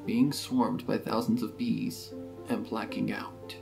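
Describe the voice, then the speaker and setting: Creaky-voiced male story narrator. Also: on the low side, flat delivery, slow. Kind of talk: scripted dramatic story narration. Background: guitar music.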